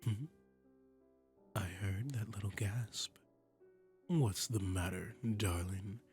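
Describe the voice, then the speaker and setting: A man playing a flirtatious, commanding demon prince in an intimate roleplay audio drama, silky, teasing and imperious, with a breathy voice that often drops toward a whisper. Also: fairly low pitch, takes it slowly, a little mellow, soft background music, exaggerated voice. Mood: playful, flirtatious.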